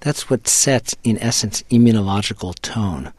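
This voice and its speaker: A man with a somewhat low voice answers as a scientist guest, explaining step by step in a measured way. He often doubles his words as he works through a point. The sound is dry and close to the mic. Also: expressive, a little mellow, breathy edge, dry recording.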